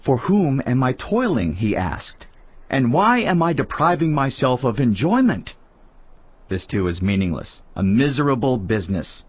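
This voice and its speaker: An actor playing a world-weary male sage, reflecting on life in a solemn, measured, declamatory dramatised Bible narration. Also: mid-range voice, sing-song, breathy edge, dry recording, highs cut off. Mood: weary, lamenting. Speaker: actor reading dramatised scripture. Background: prominent background music.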